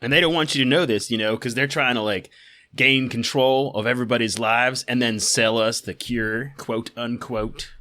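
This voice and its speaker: A man's voice: mid-range voice, steady tone, moderate pace, bright, forceful tone, lightly acted. A man playing a folksy, colloquial American conspiracy-peddling podcast host, ranting and hawking quack cures. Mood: cocky, conspiratorial. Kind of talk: satirical podcast rant.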